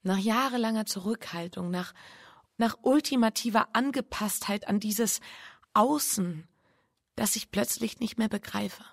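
An actress playing an anguished, guilt-ridden bourgeois wife in a radio drama, speaking with breathy, introspective intensity. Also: female voice, mid-range voice, dry recording, studio quality. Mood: agitated, confessing. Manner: intense, introspective, pleading, halting repetitions. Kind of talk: German radio drama, intimate monologue and confession.

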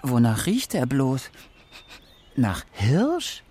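A man playing a proud, wary talking forest fox in a children's radio play, husky and musing. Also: mid-range voice, wildly swooping pitch, very slow, a little mellow, heavily acted.

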